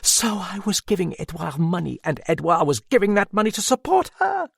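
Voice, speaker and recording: A woman's voice: lowish voice, animated, slow pace, gravelly, breathy edge, dry recording, clean studio sound, pitched above the performer's own voice, exaggerated voice. A man voicing a proud, desperate woman who cries out with passion, bitter and near tears, in a read-aloud story. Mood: fierce.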